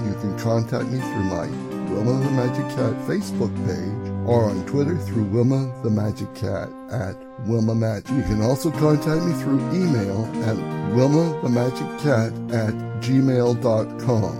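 A man with a mid-pitched voice reads a scripted announcement as a podcast creator. Loud music plays behind him throughout.

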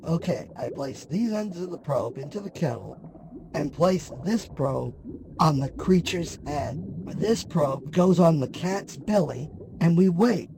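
A man playing a vain, grumbling wicked sorceress with an imperious, deliberate manner in a fantasy audio drama. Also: fairly high pitch, expressive, creaky, full character voice. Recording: noisy with ambient sound behind.

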